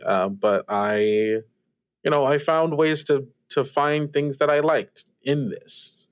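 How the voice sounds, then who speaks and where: A man's voice: medium pitch, slow pace, clear, resonant tone, no echo, close to an everyday voice. A man playing an earnest, apologetic eighteen-year-old, speaking politely and hesitantly with sympathy in improvised roleplay. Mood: earnest, sympathetic. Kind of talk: improvised in-character roleplay for an actual play podcast.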